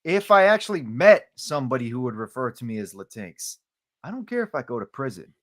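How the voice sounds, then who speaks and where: A man from Colombia whose first language is Spanish, speaking passionately as a podcast guest and getting loud on key points. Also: medium pitch, sing-song, brisk. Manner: passionate, gets loud on key points, stumbles when heated. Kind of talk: heated podcast discussion.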